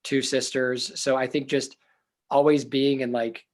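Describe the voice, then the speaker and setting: A young man with a loud, earnest voice answers interview questions. He is a therapist who thinks out loud, circling back with fillers and restarts, and his voice sits at an ordinary middle pitch. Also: flat delivery, measured pace. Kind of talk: reflective casual interview talk.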